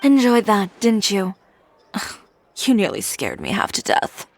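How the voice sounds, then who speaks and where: A woman's voice: mid-range voice, huge pitch swings, a little slow, vocal fry, full character voice. A woman voicing a poised, proud female tailor with a cool, dry, self-assured manner, in a game cutscene. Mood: wry. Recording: game cutscene with faint music.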